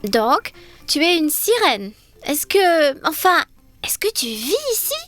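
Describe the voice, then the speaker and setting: High-pitched, excited adventurous cartoon girl. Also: slow, soft background music, exaggerated voice. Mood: curious, eager. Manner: enthusiastic, wide-eyed. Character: Annie, cheerful curious young adventurer girl. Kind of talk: children's cartoon dub.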